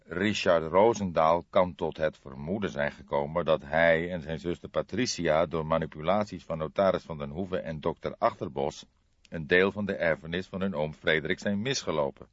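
Man playing a deep, deliberate old detective. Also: no room sound, muffled recording. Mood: thoughtful, doubtful. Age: older. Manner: deliberate, formal, ponderous. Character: brooding old male police detective, deliberate, weighty, slightly formal. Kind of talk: audiobook character dialogue.

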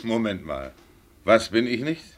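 A stubborn veteran tram driver in a radio play. His voice sits fairly low and he protests bluntly, full of indignation, in everyday colloquial words. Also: very animated, a little slow. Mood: incredulous, indignant. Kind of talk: German radio play acting.

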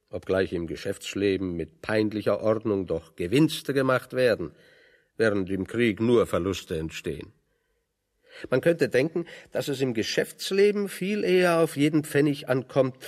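An actor playing a wry, pedantic intellectual exile in German radio drama, explaining things with irony. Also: male voice, mid-range voice, big-room sound. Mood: wryly reflective. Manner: pedantic, ironic, expository. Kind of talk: German radio play dialogue.

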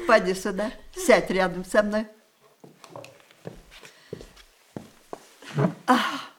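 An actress playing a proud, tender old grandmother in an audio play, with theatrical, unhurried, knowing delivery. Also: mid-range voice, close to an everyday voice. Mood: dreamy. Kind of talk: Russian stage-style audio play acting.

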